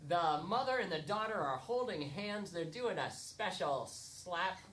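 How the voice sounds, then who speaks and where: A woman improvising comic scene narration on stage in an animated, dramatic manner. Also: on the low side, measured pace. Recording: noisy live stage recording.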